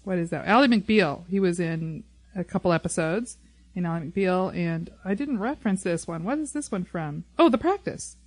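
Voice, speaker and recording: A woman's voice: on the low side, expressive, normal speed, no echo. A woman co-hosting a casual fan podcast, chatty and rambling as she talks. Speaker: podcast co-host. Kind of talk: casual fan discussion of a TV show.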